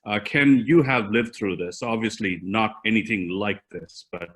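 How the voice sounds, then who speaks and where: Bright-voiced, halting male moderator. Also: fairly low pitch. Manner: halting, repeating words. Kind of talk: live panel moderation. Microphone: video call.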